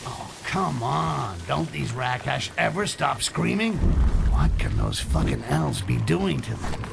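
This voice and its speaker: An actor voicing a sardonic, crude goblin thief muttering to himself in a video game, with a gravelly voice. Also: male voice, medium pitch, huge pitch swings, slow, very noisy, exaggerated voice. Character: sarcastic foul-mouthed male goblin thief muttering to himself. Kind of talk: video game character quips.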